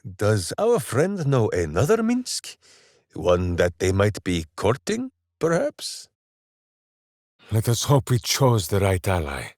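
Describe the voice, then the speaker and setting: Big dumb lovable hero warrior, booming and theatrical, slow pace, pitch all over the place, video game. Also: male voice, huge pitch swings, a little mellow, no echo, studio quality, full character voice. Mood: earnest, simple-hearted. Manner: boisterous, theatrical, speaks of himself in third person. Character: big-hearted, dim, bombastic male warrior hero with hamster. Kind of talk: video game character voice lines.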